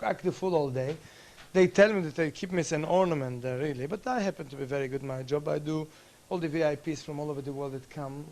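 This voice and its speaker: A cheerful, energetic actor from Turkey, talking casually in an on-set interview. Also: male voice, fairly high pitch. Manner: cheerful, energetic, self-assured. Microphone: camera microphone.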